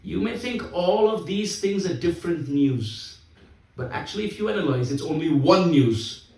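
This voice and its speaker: A man's voice: on the high side, expressive, normal speed, a little mellow, distant mic. Emphatic man preaching in an echoey hall. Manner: emphatic, repeats key phrases. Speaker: spiritual speaker. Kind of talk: sermon.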